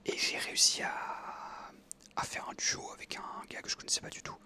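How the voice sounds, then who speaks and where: A man in his twenties whispering a relaxed personal story while out on an evening walk, hesitating often with fillers. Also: normal speed. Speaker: ASMR creator.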